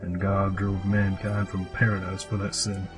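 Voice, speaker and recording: A man's voice: low voice, monotone, normal speed, music in the background, lightly acted. A man playing a cryptic old cave hermit and mechanic in an audio drama, with a solemn, old-fashioned storyteller's delivery. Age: older. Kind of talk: fan audio drama acting.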